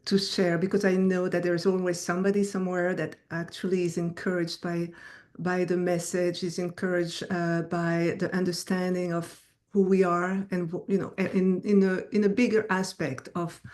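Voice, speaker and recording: A middle-aged woman from Belgium, a native French speaker with an Americanized French accent, telling her story as an interview guest in a halting way. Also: fairly low pitch, darkish tone. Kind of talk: personal storytelling in an online interview.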